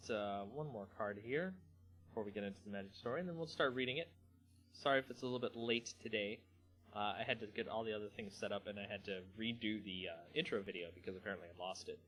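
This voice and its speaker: A man hosting a live stream in a casual, slangy, rambling way, with halting pauses. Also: lowish voice, fairly bright tone, faint music behind. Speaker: host of a card game channel. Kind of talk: casual live stream chat, then dramatic story reading.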